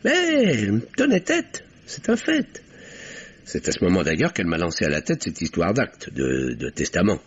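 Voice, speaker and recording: An actor playing a pompous, self-righteous middle-aged French uncle in a 1950s-style radio drama, speaking formally and defensively. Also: male voice, sits low, huge pitch swings, moderate pace, slightly rough, heavily acted. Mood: indignant, haughty. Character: pompous, indignant, self-righteous older uncle under questioning. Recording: dull vintage radio recording.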